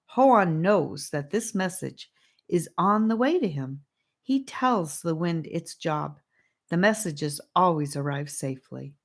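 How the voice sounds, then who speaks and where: Woman playing a gentle wise girl, reassuring tone. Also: lowish voice, expressive, slow pace, full character voice. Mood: patient. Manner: warm, reassuring, earnest. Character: gentle wise young girl with a special glow.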